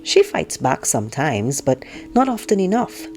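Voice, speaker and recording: An outspoken teenage girl voiced by a woman reader tells her family story in a low-pitched voice. She is frank, opinionated and indignant, with a sarcastic, conversational edge, as in an audiobook. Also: huge pitch swings, moderate pace, a little mellow, faint music behind, heavily acted. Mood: wry, exasperated. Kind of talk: first-person audiobook read-aloud.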